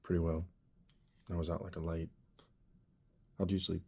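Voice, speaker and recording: Low, mellow, worn-out young dad. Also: male voice, flat delivery, moderate pace, gravelly, slightly rough, full character voice. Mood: placating, low-key. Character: exhausted young father and husband, placating, puzzled. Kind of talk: naturalistic audio drama acting.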